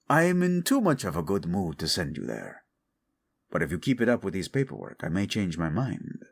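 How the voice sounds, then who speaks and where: A man's voice: lowish voice, exaggerated voice. A man acting as a teasing, devoted king in an intimate romantic audio roleplay, playful and tender with his wife. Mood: teasing menace.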